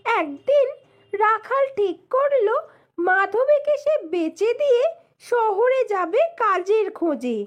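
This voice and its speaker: A woman narrating scripted moral tales for children in a deliberate, phrase-by-phrase storytelling manner. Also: extremely high pitch, animated, dull audio. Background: music.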